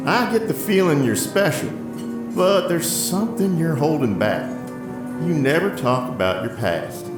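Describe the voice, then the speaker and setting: A man's voice: on the high side, animated, a little slow. An actor playing a kindly, world-weary older diner owner in an audio drama, fatherly and plainspoken. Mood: warm, fatherly. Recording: echoey, with loud music underneath. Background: music.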